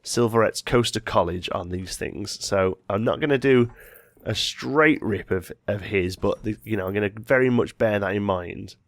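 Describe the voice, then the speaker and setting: A man's voice: fairly low pitch, moderate pace, no echo. A man with a slight head cold, hosting a let's play and thinking aloud as he builds, often correcting himself mid-sentence. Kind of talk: casual gameplay commentary.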